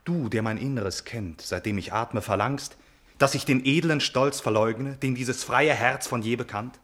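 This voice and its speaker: An actor playing a proud young prince troubled by forbidden love, speaking solemn, impassioned verse in a classical radio tragedy. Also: male voice, medium pitch, a bit bright. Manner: declamatory, solemn, impassioned delivery.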